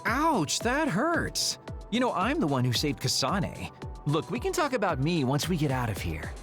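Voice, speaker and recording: A man's voice: on the high side, huge pitch swings, moderate pace, fairly bright tone, soft background music. A man playing a glib, teasing young man under suspicion in an English video game dub, flippant and wry. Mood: mock hurt, pragmatic.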